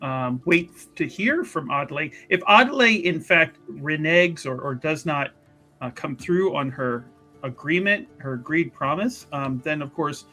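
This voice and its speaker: A man playing a tabletop roleplaying game, improvising his character's actions in a halting, wordy way. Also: on the high side, bright, forceful tone.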